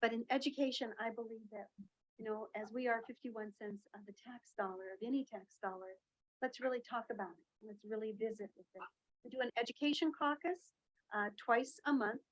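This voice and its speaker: A woman's voice: a little high, fairly even intonation, fairly quick, highs cut off. A woman chairing a meeting, chatty and welcoming, with many fillers.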